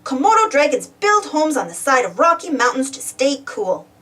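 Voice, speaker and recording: Woman, high-pitched, lively swooping intonation, expressive read-aloud for kids, questioning and exclamatory, distant mic. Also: moderate pace. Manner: animated, exclamatory, addresses young listeners with questions. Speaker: storybook reader.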